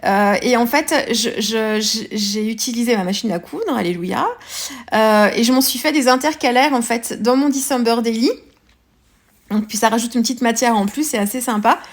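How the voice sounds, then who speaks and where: A woman with a French accent talks through a craft project in a fairly high voice. She pauses often and restarts her sentences. Her tone is casual and chatty, like a friendly tutorial. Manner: hesitant, many fillers and restarts. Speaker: scrapbooking vlogger.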